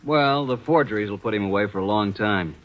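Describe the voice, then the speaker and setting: A man's voice: fairly low pitch, a little fast, muffled recording, lightly acted. An actor in a 1940s radio drama playing a wisecracking male assistant detective who talks breezy and cocky. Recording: old radio transcription.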